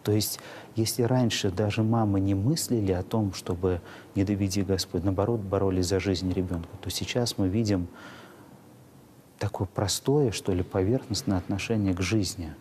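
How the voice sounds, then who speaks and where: A man in his thirties, a priest explaining in an interview, measured and searching for words. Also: low-pitched, steady tone, darkish tone, a little hiss or hum. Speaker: priest, parish rector. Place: inside a church.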